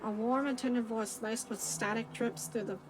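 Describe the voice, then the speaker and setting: A woman's voice: a little high, fairly even intonation, moderate pace, background noise. A woman streamer reading a visual novel aloud, playful and joking with chat, cursing at times. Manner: playful, swears, jokes with chat.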